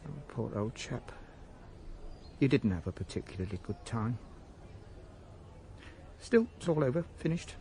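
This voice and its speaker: An actor playing a middle-aged English shopkeeper, whimsical and wistful, hesitant and fond of odd long words, in a radio drama. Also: male voice, medium pitch, big pitch swings, unhurried, pauses often, dark tone, a little hiss or hum. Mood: wistful, musing. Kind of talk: British radio drama acting.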